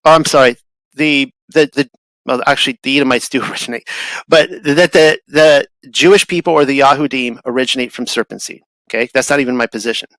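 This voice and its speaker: A man's voice: mid-range voice, a little fast, fairly bright tone, dry recording, low-quality recording. A man talking in a casual, rambling way, often restarting and repeating words as a guest. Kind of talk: casual conversational talk.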